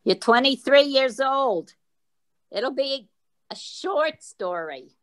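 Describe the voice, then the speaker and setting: A woman's voice: high voice, expressive, slow pace, a bit bright, heavily acted. A woman playing a grouchy, blunt elderly great-aunt in a comic staged reading, complaining and dryly needling. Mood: dry, dismissive.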